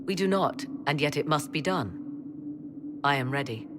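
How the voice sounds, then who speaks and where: Casting: proud fantasy-game sorceress, deep female voice, slow and deliberate, steeped in ancient lore. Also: animated, background noise. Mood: resolved. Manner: formal, theatrical, proud and deliberate. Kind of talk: dramatic fantasy game acting.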